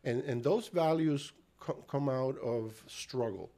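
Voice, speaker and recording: A middle-aged man from Cuba speaks with a Cuban and Puerto Rican accent in a casual interview. He pauses often, trips on his words and restarts his sentences. His voice sits at an ordinary pitch for a man. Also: mellow. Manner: halting, with frequent fillers and restarts. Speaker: guest.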